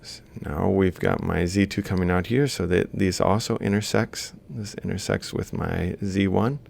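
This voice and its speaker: Very gravelly, low-voiced man lecturing. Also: fairly even intonation, normal speed, darkish tone. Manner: step-by-step, thinking aloud with self-corrections. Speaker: robotics lecturer. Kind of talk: informal worked-example lecture, explaining while drawing. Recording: faint background noise with low rumble.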